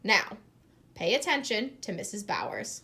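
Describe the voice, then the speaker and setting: A woman's voice: mid-range voice, wildly swooping pitch, a little slow, gravelly, pushed and bright, a little hiss or hum, heavily acted. A woman voicing a strict, bossy female first grade teacher in a storybook, giving firm classroom orders. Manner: firm, clipped classroom commands.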